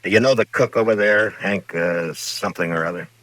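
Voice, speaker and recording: A stern American frontier lawman from 1950s radio drama. His voice is deep, recorded with no room around it. He speaks in clipped, dry, commanding phrases, shrewd and a little weary. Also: a little fast, fairly bright tone, no room sound, low-quality recording. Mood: dry, patient. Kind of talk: 1950s radio western acting.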